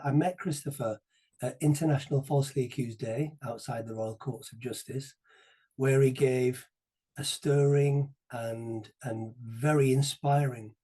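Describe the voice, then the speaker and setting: A male interview host with a husky, warm, dark-toned voice. He talks haltingly, repeating words and clearing his throat as he goes. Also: medium pitch. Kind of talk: conversational interviewing.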